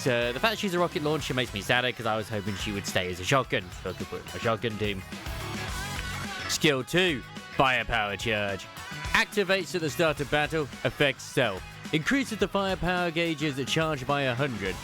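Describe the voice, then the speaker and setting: A man's voice: medium pitch, expressive, moderate pace, over music. A man hosting a gaming video with casual, chatty commentary on a character's skills. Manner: chatty, self-correcting asides. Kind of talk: casual game kit commentary, reading skill text aloud.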